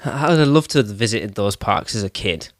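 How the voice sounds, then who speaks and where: A man co-hosting a podcast, friendly and quick to laugh. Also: lowish voice, expressive. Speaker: podcast co-host. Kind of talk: casual podcast chat.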